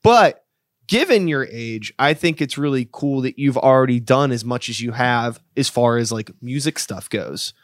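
A man in his late thirties hosting a laid-back interview, rambling and chatty with lots of fillers and the occasional curse. His voice sits at an ordinary middle pitch. It is recorded close and dry, with no room sound. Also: animated, normal speed, dry recording. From Pittsburgh area, USA. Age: 30s. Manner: loose and chatty, heavy filler "like", swears casually. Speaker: podcast host. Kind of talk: casual interview chat.

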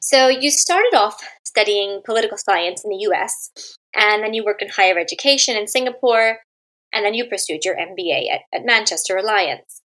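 A woman hosting a podcast, reading an introduction and then asking measured, polite questions. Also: medium pitch, expressive, fairly bright tone, rough recording. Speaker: podcast host. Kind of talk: scripted intro and interview questions.

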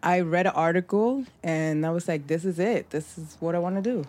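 A woman raised in the Bronx, a mutual aid organizer, talking as a guest in a casual interview, relaxed and reflective with lots of "like" and "um". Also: lowish voice, brisk. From New York, raised in the Bronx.

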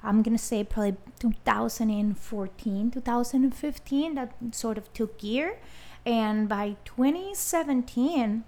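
A young adult woman from Colombia with a Colombian accent, a guest speaking in a casual interview in a halting way with many fillers. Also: mid-range voice, gravelly, warm tone. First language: Spanish.

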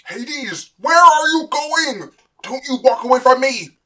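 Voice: high-pitched, expressive, slow pace, bright, forceful tone, full character voice. Imprisoned father god for audio drama: furious, tyrannical, howling, melodramatic. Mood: outraged.

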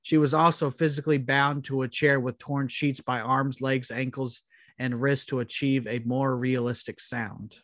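A man co-hosting a casual podcast, chatting and reading out trivia in a halting way. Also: mid-range voice, flat delivery, fairly bright tone, no echo, clean studio sound. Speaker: podcast co-host.

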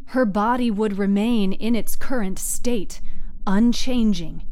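A woman storyteller reading dramatic scripted narration in a deliberate, halting way, lingering on short phrases. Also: mid-range voice, mellow. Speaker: storyteller, narrator of a serial fiction podcast. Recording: music under the voice. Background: music.

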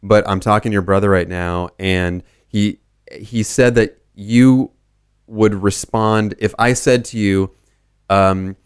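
A man with a low, very clean and smooth voice in a dry recording. He chats casually, telling anecdotes with a joking touch. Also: fairly even intonation, takes it slowly, no echo. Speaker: interview guest in the wine and spirits business.

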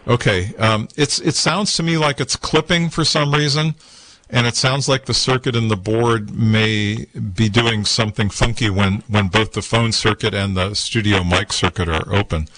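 A man hosting a casual call-in tech show from a small radio studio, talking in a relaxed, rambling way with lots of fillers and restarts. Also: fairly low pitch, moderate pace, no room sound, low-quality recording. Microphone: studio microphone with headphones.

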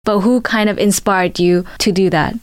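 A woman's voice: medium pitch, fairly even intonation, normal speed, clear, resonant tone, dry recording. A woman in her twenties from Vietnam whose first language is Vietnamese, talking with earnest reflection as a podcast co-host. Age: 20s. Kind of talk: casual chat.

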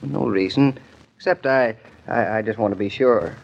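An actor playing an affectionate young husband in an old-time radio drama, gently concerned and playfully deadpan. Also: male voice, lowish voice, moderate pace, vocal fry. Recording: dull, lo-fi old radio recording.

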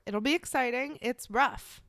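A woman's voice: high-pitched, fairly bright tone, no room sound, clean studio sound. Giggly young woman from the Midwest, chatty. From American Midwest. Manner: giggly, chatty, quick asides. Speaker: podcast co-host. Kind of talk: casual chat.

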